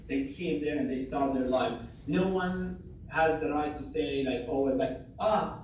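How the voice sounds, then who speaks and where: A man's voice: mid-range voice, a little mellow, distant mic, muffled recording, a little hiss or hum. Man on an echoey video call. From Syria. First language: Arabic. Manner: earnest, many fillers, restarts phrases. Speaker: interviewee, refugee and former assistant doctor. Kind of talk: casual conversational interview. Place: home in Germany.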